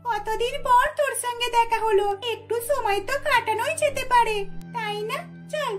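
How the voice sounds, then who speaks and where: A woman's voice: extremely high pitch, moderate pace, music in the background, voice pushed up. A woman voicing a meddling young female friend in a cartoon, coaxing and insistent. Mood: friendly. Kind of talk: cartoon dubbing.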